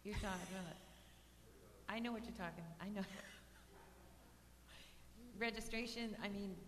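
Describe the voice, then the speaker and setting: A woman's voice: mid-range voice, slow, a bit bright. A woman who manages a residential park, answering residents' questions at a meeting in a plain, restart-prone way. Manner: plainspoken, frequent restarts and fillers. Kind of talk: casual meeting talk. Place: community meeting hall. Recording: reverberant hall with faint hum.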